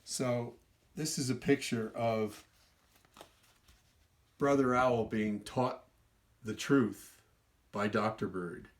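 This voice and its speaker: A man's voice: medium pitch, fairly even intonation, slow pace, pauses often. Man reading a children's story aloud expressively. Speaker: storyteller reading his own book.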